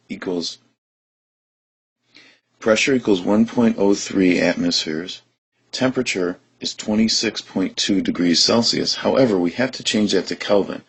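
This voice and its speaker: Deep husky man teaching chemistry. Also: poor audio. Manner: steady, methodical, talks through steps. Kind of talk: recorded lesson explanation.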